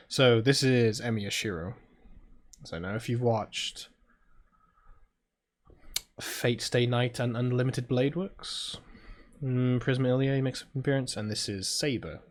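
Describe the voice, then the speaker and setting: British guy playing a visual novel, chill halting chat and reading lines out, mid-pitched voice. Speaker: gaming video host playing a visual novel. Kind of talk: casual playthrough chat and reading game text aloud.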